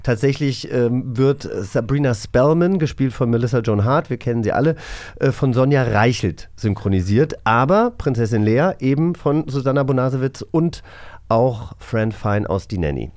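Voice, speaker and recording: A man's voice: mid-range voice, animated, moderate pace, darkish tone. A man hosting a casual podcast, talking in a chatty, rambling way as he explains and asks questions. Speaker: podcast host and quizmaster. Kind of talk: casual radio play podcast talk.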